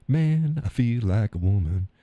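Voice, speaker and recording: A man's voice: medium pitch, dark tone, no room sound. A man putting on the voice of a made-up male friend confessing a wish to transition, in a mocking, hesitant drawl.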